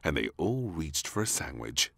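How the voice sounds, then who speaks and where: A man reading a children's story aloud as narrator, in an expressive, unhurried way. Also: very deep voice, vocal fry.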